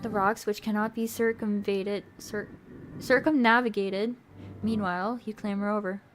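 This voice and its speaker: A woman's voice: mid-range voice, steady tone, normal speed, noisy background. A young woman streaming, chatty and playful, moving between casual chat and reading a visual novel aloud. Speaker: streamer and host.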